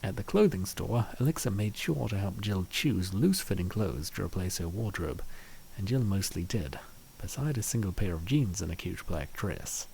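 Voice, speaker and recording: A man's voice: low-pitched, animated, normal speed, vocal fry, mellow, a little hiss or hum, low-quality recording. A man reading scripted story narration as the narrator of a comic dub.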